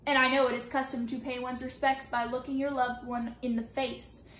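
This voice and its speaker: A woman's voice: high-pitched, level tone, normal speed, a bit bright. A woman voicing a cold, condescending male Nazi officer with an accent, reading a storybook aloud in an echoey room with faint background noise.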